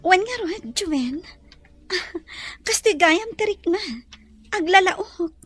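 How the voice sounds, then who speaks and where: A woman playing a gentle, repentant lady of the mansion in an audio drama, soft and earnest. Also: very high voice, slow, full character voice. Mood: friendly, teasing.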